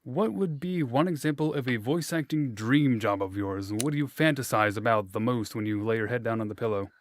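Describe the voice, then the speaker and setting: A man's voice: medium pitch, a little fast. A man hosting an interview, asking playful questions in an easygoing, joking way with many fillers. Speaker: interviewer, host.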